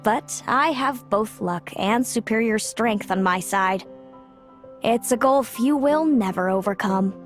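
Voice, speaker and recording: A woman voicing a proud, fatalistic older sister in a video game, measured and grave. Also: on the high side, faint music behind, natural voice. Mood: confident. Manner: measured, grave, speaks of fate with cool confidence.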